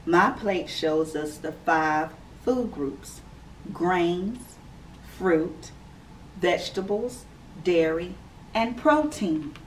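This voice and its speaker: A woman in her thirties, a preschool teacher, reading a picture book aloud to young children in a slow, clear, sing-song storytime manner from her classroom. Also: on the low side, lively intonation. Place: classroom indoors. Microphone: camera a few feet away. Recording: slightly distant camera mic with faint room noise.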